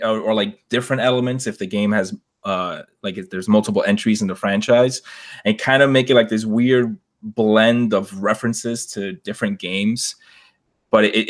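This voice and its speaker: Lowish guy voice, podcast cohost, halting, feeling out his points, casual. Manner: hesitant, halting, feels out each point. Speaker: podcast co-host. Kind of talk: casual discussion.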